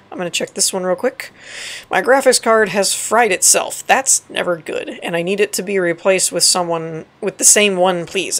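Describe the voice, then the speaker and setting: A woman with a raspy, dry voice giving casual let's play commentary, reading game text aloud and correcting herself as she goes. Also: fairly low pitch. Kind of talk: casual gameplay tutorial commentary.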